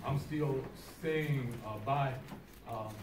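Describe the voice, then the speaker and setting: Older male resident, mid-pitched, hesitant testimony, distant pickup with very loud background noise. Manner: halting, hesitant. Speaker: local resident giving testimony. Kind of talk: personal spoken testimony. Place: crowded library meeting room, indoors. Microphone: distant camera. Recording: echoey room, far mic, loud noise.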